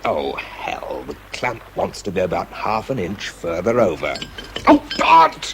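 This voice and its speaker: A low-pitched British man as a self-doubting wartime bomb disposal man. His intonation swings widely between terse, concentrated reporting and private, confessional recollection. It is a radio drama performance with some faint noise behind the voice. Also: huge pitch swings, measured pace, vocal fry, exaggerated voice. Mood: strained, alarmed. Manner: clipped reports alternating with quiet, confessional recollection. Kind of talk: radio drama acting, first-person narration and spoken report.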